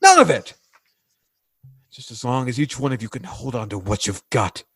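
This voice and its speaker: An actor playing an earnest, plain-spoken working-class man in 1940s radio drama style, with a North American accent and halting, slangy speech. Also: mid-range voice, very animated, fairly bright tone, breathy edge, no echo, full character voice. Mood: impassioned fury. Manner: halting, groping for words, slangy American everyman.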